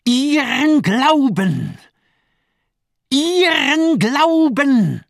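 Casting: radio drama villain, a zealous, mocking doctor; animated, swooping delivery, insistent and rhetorical, close dry sound. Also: male voice, extremely high pitch, very slow, gravelly, no echo, exaggerated voice. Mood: frenzied demanding.

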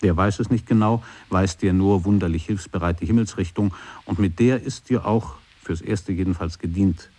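Male radio-play narrator, husky and low, measured literary delivery. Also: mellow.

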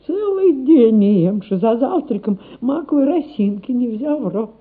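An actress playing a humble, soft-hearted servant nanny in a radio play, with folksy peasant turns of speech. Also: female voice, a little high, expressive, moderate pace, slightly rough. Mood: fretful. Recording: muffled, phone-like radio play recording.

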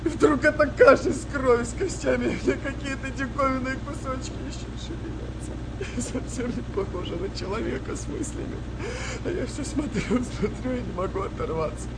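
An actor playing an anguished, haunted young wartime soldier in a radio play, speaking in halting, repetitive phrases. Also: male voice, very high voice. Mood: anguished, shaken. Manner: halting, repetitive, emotional delivery. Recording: noisy wartime ambience behind voice.

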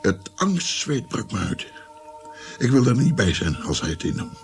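An actor playing an old grandfather in a radio play, recounting his past in a slow, grave, guilt-ridden way. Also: medium pitch, very animated, vocal fry, faint music behind. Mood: grave, recollecting. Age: older. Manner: slow, grave, reflective storytelling. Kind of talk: radio play narration and dialogue.

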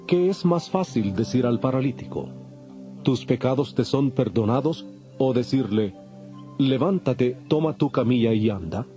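A man playing a calm, authoritative holy teacher, solemn and measured, in a dramatized audio Bible. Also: medium pitch, close to an everyday voice. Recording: dull lo-fi, faint music behind.

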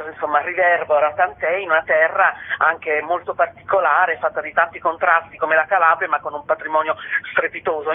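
A woman interviewed on the radio as a festival director, speaking in a measured, formal way with elaborate phrasing. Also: on the low side, slightly rough. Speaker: festival founder and director, guest. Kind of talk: radio interview, articulate explanation. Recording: muffled phone-like line.

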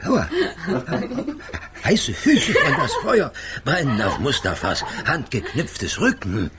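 A tired old talking carpet, foreign accent, super slow n swoopy, groany broken sentences. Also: male voice, medium pitch, huge pitch swings. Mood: plaintive, reluctant. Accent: foreign-accented broken German. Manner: broken grammar, drawn-out groans. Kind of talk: children's radio play acting. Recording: loud music behind the voice. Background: loud string music.

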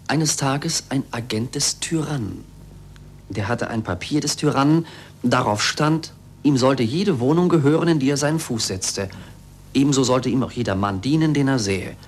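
An actor playing an earnest male pupil with deliberate storyteller's phrasing in a 1940s German radio play. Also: mid-range voice, breathy edge, lightly acted. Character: Andrea, earnest male pupil telling a parable. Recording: faint noise and hum, vintage radio.

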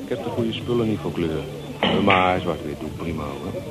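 A man's voice: low-pitched, animated, normal speed, heavy background noise. An actor playing a laid-back, slightly shady young man in a Dutch radio drama, talking in a casual, hesitant, colloquial way. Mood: casual, offhand.

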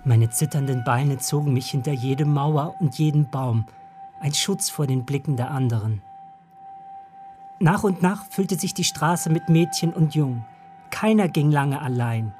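A radio drama narrator, played by an actor, recalls a lonely childhood in a measured, lyrical way. The pitch is medium and the phrases come in short, literary fragments. Music runs underneath. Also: a little mellow, close to an everyday voice. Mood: reflective, measured.

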